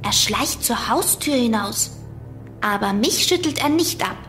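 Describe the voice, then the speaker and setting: An inquisitive, plucky girl in a children's radio play. She speaks in a high, very animated voice and takes her time over her words, with music clearly behind her. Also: measured pace. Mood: tense, watchful. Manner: animated, expressive.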